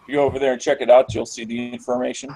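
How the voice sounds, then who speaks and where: A man's voice: mid-range voice, level tone, very fast, creaky, warm tone, low-quality recording. A man in casual group chat, easygoing and hesitant with fillers.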